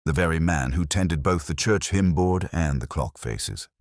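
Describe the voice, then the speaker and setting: Man voicing a very deep, courteous police inspector. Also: expressive, no echo, clean studio sound. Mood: calm, deliberate. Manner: quiet, formal, deliberate. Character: mild, courteous, methodical male police inspector. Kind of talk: acted dialogue in story narration.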